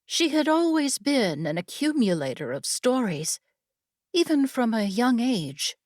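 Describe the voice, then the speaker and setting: A woman narrating fantasy fiction from a script in a measured, deliberate storytelling manner. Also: fairly high pitch, very animated, a little mellow, no room sound, studio quality. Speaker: audiobook narrator.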